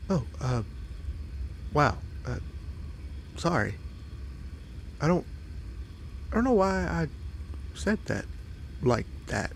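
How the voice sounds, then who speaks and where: A man's voice: on the high side, very animated, creaky, slight background noise, full character voice, poor audio. A man playing a friendly, curious fox-boy monster in a roleplay audio drama, hesitant and apologetic as he addresses the listener. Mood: flustered. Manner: hesitant, halting, apologetic, wide-eyed.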